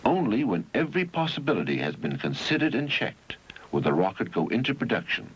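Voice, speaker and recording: A man reading scripted educational film narration in a measured, didactic way with deliberate phrasing, on a 1971 film soundtrack. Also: mid-range voice, very animated, slightly rough, lo-fi sound.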